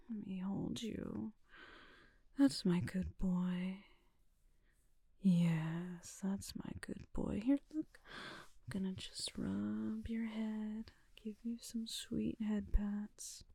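A woman speaks slowly in a soft, teasing whisper, right up against the mic. Her tone is mellow and warm, with a gravelly fry under it. The sound is dry, with no room around it. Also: medium pitch, steady tone, no room sound. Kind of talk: ASMR roleplay.

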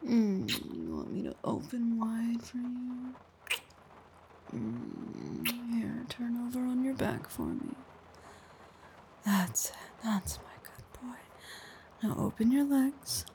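Slow, whispering woman with a gravelly edge. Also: sing-song, slight background noise. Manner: soft whispering with low murmured hums; coaxing, praising tone. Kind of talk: intimate whispered audio roleplay.

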